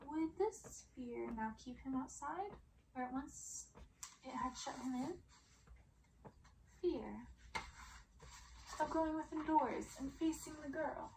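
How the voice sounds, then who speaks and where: A woman reading a story as ASMR in a hushed, deliberate way, often slipping into a soft whisper. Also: high-pitched, background noise. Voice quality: soft, breathy whisper at times. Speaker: ASMR reader.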